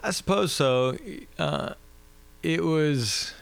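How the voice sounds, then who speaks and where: A man with a low-register voice, a musician guest chatting easily and thoughtfully in an interview. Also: slow pace, vocal fry, clear, resonant tone. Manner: relaxed, thoughtful, frequent "like" fillers. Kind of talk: casual interview chat.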